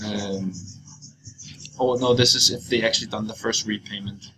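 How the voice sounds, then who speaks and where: A young man giving an informal screencast walkthrough, explaining in a halting, loose way as he goes. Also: fairly low pitch, fairly even intonation, crowd noise. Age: young adult. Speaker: software walkthrough presenter. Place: indoors, at a computer. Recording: clearly noisy screencast recording.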